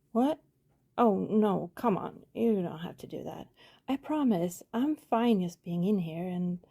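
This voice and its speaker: Woman playing a husky, hesitant vampire neighbor. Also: mid-range voice. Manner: hesitant, rambling, soft-spoken. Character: friendly, apologetic female vampire posing as shy night-shift neighbor. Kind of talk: intimate ASMR roleplay acting.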